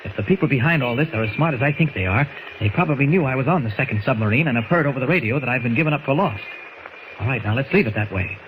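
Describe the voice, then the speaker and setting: A man's voice: medium pitch, expressive, rapid-fire, phone-quality sound, close to an everyday voice. An actor playing a purposeful male newspaper reporter, confiding and authoritative, in 1940s radio acting. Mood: brisk, purposeful. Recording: noisy 1940s radio recording.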